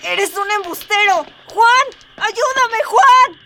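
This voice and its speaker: High-voiced, urgent rebel heroine. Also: female voice, slow pace, full character voice. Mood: defiant, alarmed. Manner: dramatic, emphatic. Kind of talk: melodramatic radio drama acting. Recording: music and crowd noise behind.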